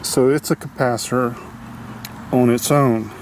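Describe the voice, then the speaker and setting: A man giving a casual, unscripted hands-on repair demonstration, halting as he thinks aloud. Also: mid-range voice, steady tone, darkish tone. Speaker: hobbyist radio restorer demonstrating a repair. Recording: clearly noisy amateur video sound.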